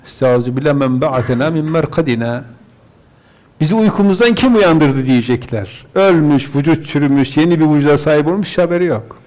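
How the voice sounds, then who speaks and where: A man's voice: fairly high pitch, brisk, darkish tone. A man, a religious scholar, reciting scripture verses and then explaining them in plain terms.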